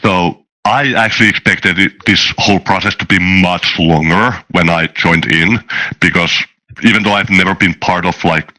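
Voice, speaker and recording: A man talking casually as a game designer being interviewed, halting and often restarting his words. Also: sits low, pushed and bright, low-quality recording. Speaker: tabletop game designer, guest.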